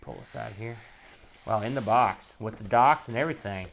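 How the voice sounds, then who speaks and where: A man's voice: fairly low pitch, moderate pace, creaky, fairly bright tone, a little hiss or hum. A man hosting a retro computer show, giving casual, rambling unboxing commentary and half talking to himself as he handles items.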